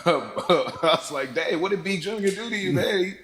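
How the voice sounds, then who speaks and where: A man casually discussing a film, retelling things in an animated way with acted-out quotes and frequent chuckles. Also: high-pitched, normal speed, over a noisy crowd. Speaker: podcast panellist. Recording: echoey room.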